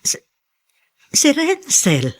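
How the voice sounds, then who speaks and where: A woman acting a gentle, sympathetic adult daughter in a radio drama, with a soothing, caring way of speaking. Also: sits high, wildly swooping pitch, drawn-out words, heavily acted. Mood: consoling.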